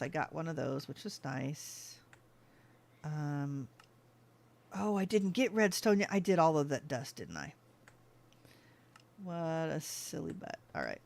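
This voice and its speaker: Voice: medium pitch, gravelly, a little hiss or hum. A gaming channel host giving casual let's-play commentary, chatting to themselves and thinking aloud as they play.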